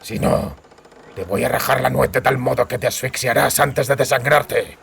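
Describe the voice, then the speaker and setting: A man acts out a hard-bitten male monster hunter in a fantasy audiobook. His voice is extremely gravelly and creaky. He speaks tersely, with sardonic menace. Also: mid-range voice, steady tone, normal speed, fairly bright tone, heavily acted. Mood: threatening. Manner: terse, threatening.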